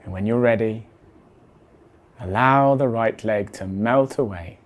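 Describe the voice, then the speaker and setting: A man leading a guided body scan meditation in a measured, deliberate way, letting his phrase endings fall soft. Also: fairly low pitch, muffled recording.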